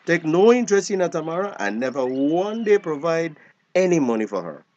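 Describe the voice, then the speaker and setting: A man's voice: a little high, sing-song, normal speed, darkish tone, lo-fi sound. An actor playing a weary, bitter old grandfather in a screen drama, with a Belizean accent. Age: older.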